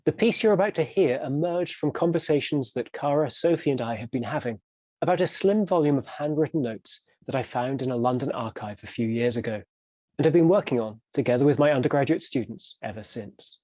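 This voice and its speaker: In a dry recording, a man with a fairly high voice gives a scripted introduction. He explains in the measured manner of a professor. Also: darkish tone, dry recording. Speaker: professor introducing an audio drama.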